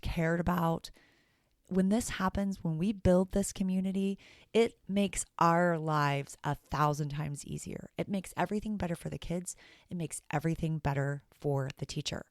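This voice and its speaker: A low-pitched woman with a raspy edge talks through her teaching podcast in a chatty, self-deprecating way. The sound is dry and close, with no room around it. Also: a little mellow, dry recording. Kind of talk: casual solo podcast talk.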